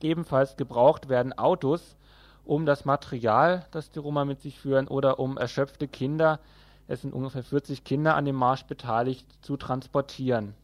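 A man's voice: medium pitch, steady tone, normal speed, dry recording. A man presenting on radio, giving announcements in an informal way from a studio.

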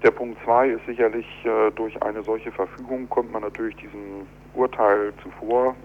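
A man speaking as an architect in a telephone interview, explaining in a measured, deliberate, argumentative way. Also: fairly low pitch, level tone. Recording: dull telephone line with clear hum.

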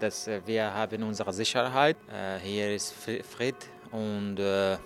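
Slow, halting man in his thirties. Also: mid-range voice. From Afghanistan. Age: 30s. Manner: halting, many fillers and restarts. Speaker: journalist telling his story. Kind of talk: hesitant interview answers in learner German.

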